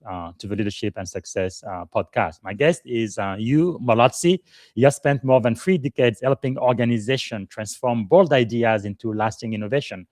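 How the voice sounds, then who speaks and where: A man's voice: fairly low pitch, no echo. Man hosting a live podcast, dry sound. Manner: warm formal introductions with many "uh" fillers. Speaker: podcast host and leadership coach.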